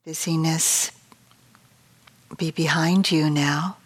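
A woman meditation teacher leading a guided meditation in a gentle, unhurried way with long pauses, her voice soft and turning breathy as phrases end. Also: deep voice, steady tone.

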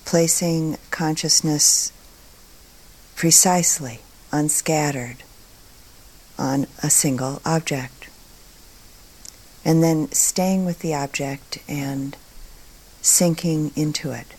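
A woman with a low-pitched voice gives a guided meditation talk. She speaks very slowly and calmly, setting out each short phrase with care and leaving generous pauses between them. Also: poor audio. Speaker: meditation teacher.

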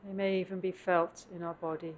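A woman meditation teacher leading a guided meditation in contemplative, measured phrases with long pauses, recorded live at a meditation center. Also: on the low side, flat delivery. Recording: clear background noise, live room ambience.

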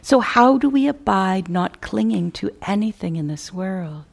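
A woman teaching a guided meditation in a measured, contemplative way, pausing between short phrases and softly trailing off at the ends. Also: mid-range voice, animated, vocal fry.

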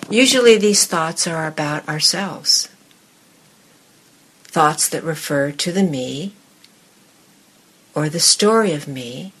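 A woman with a somewhat low, breathy voice guides a meditation. She speaks very slowly and deliberately, in short phrases with space between them. Her tone is husky, and she talks as a teacher addressing a seated group. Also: lively intonation, a bit bright, rough recording. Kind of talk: guided meditation and dharma talk to a group in the room.

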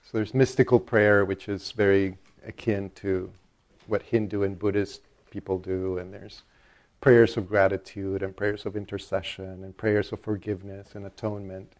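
A man from El Salvador giving a relaxed, conversational dharma talk to a meditation group. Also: on the low side, moderate pace. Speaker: meditation teacher.

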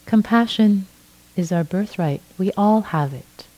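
A middle-aged woman from Iran, a native Farsi speaker, giving a gentle dharma talk as a meditation teacher, often restarting her phrases. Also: medium pitch, expressive, lo-fi sound. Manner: slow, gentle, with frequent restarts.

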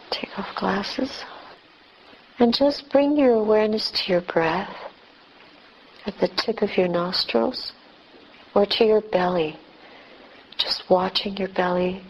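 Slow-speaking woman guiding meditation. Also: mid-range voice, a little hiss or hum, poor audio. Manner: gentle, measured, with long pauses between short phrases. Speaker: meditation teacher, Buddhist nun. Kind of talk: guided meditation.

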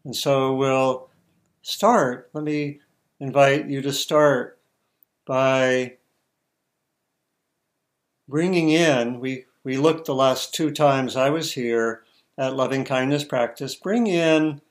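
A man teaching meditation, giving gentle guided instructions in halting, spaced-out short phrases. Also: medium pitch, fairly even intonation.

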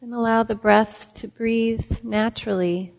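A woman meditation teacher leading a guided meditation, giving gentle instructions with long pauses. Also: a little high, steady tone, creaky. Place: meditation center hall. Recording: reverberant hall.

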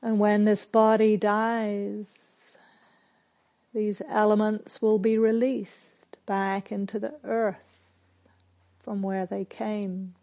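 A woman leading a guided meditation as a teacher, speaking gently and with measured, spare phrases separated by long silences. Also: mid-range voice, flat delivery, lo-fi sound. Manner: gentle, measured, softly instructive.

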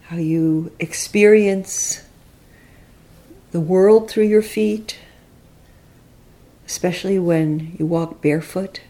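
Very slow, mellow woman guiding meditation. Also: on the low side. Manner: unhurried, gentle, reflective phrasing. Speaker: meditation teacher leading a guided body scan. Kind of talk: guided meditation, slow spoken instructions with long pauses.

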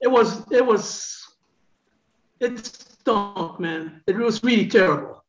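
A man's voice: high voice, slow pace, fairly bright tone, reverberant, lo-fi sound, a little hiss or hum. A man from the United States, casually sharing personal experience as a participant in a group.

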